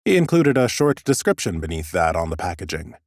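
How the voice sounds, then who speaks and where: A man reading scripted first-person fantasy narration for an audiobook in an expressive, theatrical way. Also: low voice, a little fast, no room sound, clean studio sound.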